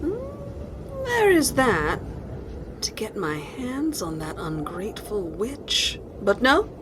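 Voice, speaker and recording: A woman's voice: a little high, huge pitch swings, slow, background noise, heavily acted. A woman playing a cruel, impatient evil empress in a fantasy audio drama, haughty in her commands and savoring her threats. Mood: menacing, scheming.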